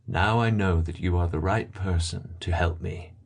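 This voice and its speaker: Man playing a low-voiced, sorrowful old gentleman. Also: normal speed. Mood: gentle, earnest. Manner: solemn, earnest, sorrowful. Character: grieving, gentle old rich gentleman, bereaved father. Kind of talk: dramatic audiobook reading.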